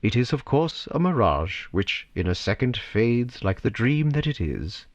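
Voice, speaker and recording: A man narrating a scripted story theatrically, with dramatic, deliberate phrasing and pauses. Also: mid-range voice, very animated, no room sound, highs cut off.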